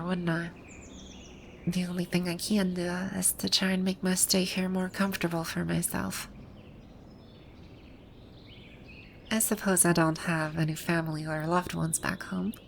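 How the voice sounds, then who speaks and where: A woman playing a haughty, grouchy video game villain boss with a secretly soft side, speaking in imperious, formal grumbles in an ASMR roleplay. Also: fairly low pitch, flat delivery, normal speed, gravelly, slight background noise. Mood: wistful, resigned. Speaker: audio roleplay voice actor.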